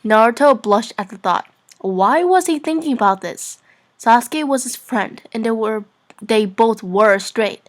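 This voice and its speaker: A woman's voice: fairly high pitch, unhurried. Informal woman reading a story aloud. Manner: giggly, informal. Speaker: narrator of fan fiction.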